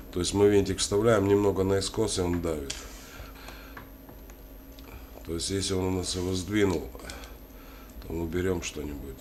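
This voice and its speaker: A man with a cold giving a casual hands-on tutorial, halting and thinking aloud as he works. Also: deep voice. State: has a cold. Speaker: DIY tutorial host. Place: indoors at a wooden worktable. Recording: clearly noisy home recording.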